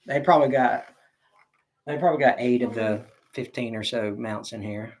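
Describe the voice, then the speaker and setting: A man's voice: mid-range voice, normal speed, darkish tone. A middle-aged man with a Southern American accent hosting a casual livestream, rambling, stop-start and joking as he reads viewer comments. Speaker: livestream host.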